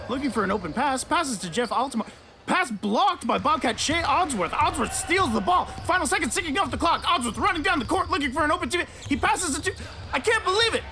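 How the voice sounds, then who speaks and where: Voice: high-pitched, brisk. An actor playing a frantic, melodramatic sports announcer calling basketball play by play in a radio comedy. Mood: excited. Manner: excitable, dramatic. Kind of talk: basketball commentary. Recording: noisy arena crowd behind.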